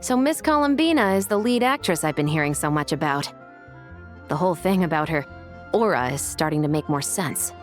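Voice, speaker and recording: An actress playing a courteous, dutiful woman musketeer in a video game, polite, measured and earnest. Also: low-pitched, lively intonation, over music. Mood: curious. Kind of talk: English video game voice acting.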